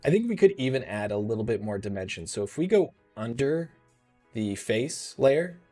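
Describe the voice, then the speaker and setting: A man in his thirties hosting a live software tutorial, thinking aloud in a relaxed way, his voice a little rough. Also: medium pitch, normal speed, faint music behind. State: slightly rough voice. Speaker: host.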